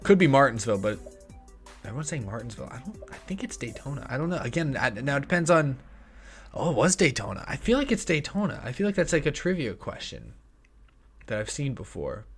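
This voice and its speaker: A young man in his twenties hosting a casual livestream, thinking aloud and correcting himself, a bit hoarse, on a close studio mic in a small room. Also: mid-range voice, sing-song, a little fast, fairly bright tone, faint music behind. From United States. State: slightly hoarse, tickle in throat. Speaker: livestream host. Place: small home streaming room. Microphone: headset and studio mic on arm.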